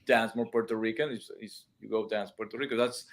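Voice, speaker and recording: A man's voice: on the low side, steady tone, a little fast. A man with a non-native accent on a casual video call, explaining music and dance to a host with many restarts and comparisons. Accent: non-native English speaker. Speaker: guest. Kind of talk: casual live-stream chat. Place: room at home with cameras.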